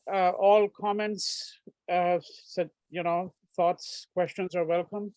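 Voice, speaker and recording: Female moderator, slow and halting, close dry sound. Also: on the low side, fairly even intonation, a little mellow, dry recording. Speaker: discussion moderator.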